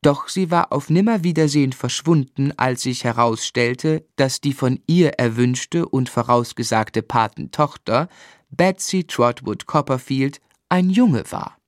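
A man's voice: medium pitch, no room sound, lightly acted. Man as a reflective narrator in a dry studio. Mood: reflective, wistful. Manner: measured, reflective. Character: grown man recalling his hard boyhood. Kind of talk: scripted literary narration.

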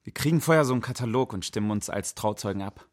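An actor playing a bookish, idealistic young man in a radio drama, speaking in a calm, understated, pensive way. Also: lowish voice, fast, dry recording, clean studio sound, natural voice. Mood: dry, understated. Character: Friedrich, bookish idealistic young male friend. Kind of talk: radio drama narration and dialogue.

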